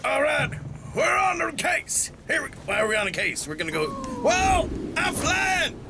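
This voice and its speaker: A man's voice: very high-pitched, sing-song, a little slow, pitched above the performer's own voice, full character voice. A man voicing an eager, gung-ho Allied army officer from a cartoon video game, exclaiming his lines. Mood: gung-ho excitement. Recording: clear game noise behind.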